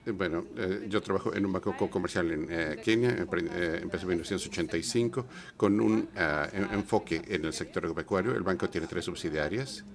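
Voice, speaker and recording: A man working as a live conference interpreter, speaking haltingly with many fillers and restarts. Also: low voice. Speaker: simultaneous interpreter. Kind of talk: live Spanish interpretation of a panel.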